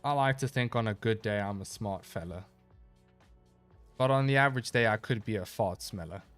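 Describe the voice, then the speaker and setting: A man's voice: lowish voice, normal speed, fairly bright tone, faint music behind. Laid-back rambling male streamer, slightly stuffy. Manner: laid-back, rambling, reads chat aloud and answers. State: a little stuffy, slightly nasal. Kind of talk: casual live-stream chat while gaming.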